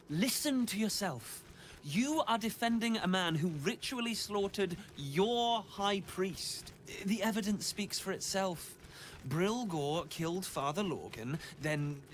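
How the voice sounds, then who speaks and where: A woman's voice: on the low side, a little hiss or hum. A woman playing a brusque, dismissive investigator in a game, with curt, weary, declarative delivery. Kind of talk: dramatic game voice acting.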